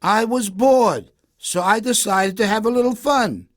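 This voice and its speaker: A man's voice: high-pitched, a little slow, pitched above the performer's own voice. A man voicing a mischievous, emotional shepherd boy in an exaggerated storybook style. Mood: gleeful, cheeky. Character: mischievous shepherd boy, giggly, whiny, panicky, later remorseful.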